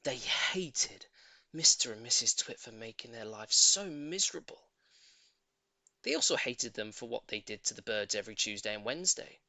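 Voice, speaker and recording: A man's voice: medium pitch, lively intonation, normal speed, sharp tone, no room sound. A man narrating a children's story as a scripted audiobook, in a theatrical, emphatic way.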